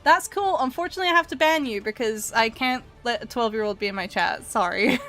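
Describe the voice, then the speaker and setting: A woman's voice: on the high side, brisk, a bit bright. A woman in her twenties from Scotland with a mixed Scottish and Canadian accent, chatting casually on a drawing stream, laughing often as she answers chat. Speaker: art streamer.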